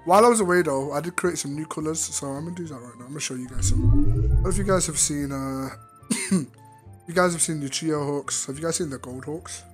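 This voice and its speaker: Hoarse man in crude, teasing banter. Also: a little high, a little fast, over music. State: strained tired voice after illness. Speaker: VRChat streamer. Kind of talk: casual chat. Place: online virtual-reality social game.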